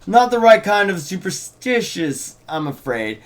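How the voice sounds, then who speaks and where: A man's voice: sits high, big pitch swings, a little slow, pitched above the performer's own voice. A man playing an effusive small-town shopkeeper and fortune teller in a script table read. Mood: theatrical. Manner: effusive, salesy.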